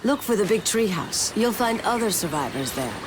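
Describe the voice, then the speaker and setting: An actress playing a practical, brisk island survivor in a video game, speaking matter-of-factly. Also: female voice, mid-range voice, big pitch swings, natural voice. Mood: urgent, concerned. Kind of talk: video game cutscene acting. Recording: game audio with clear ambient noise.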